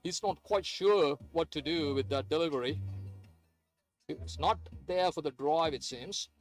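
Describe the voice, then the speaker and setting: A man's voice: a little high, measured pace, soft background music. A man who grew up in Sri Lanka, giving hesitant, chatty play-by-play of a live cricket match with many fillers. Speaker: cricket commentator.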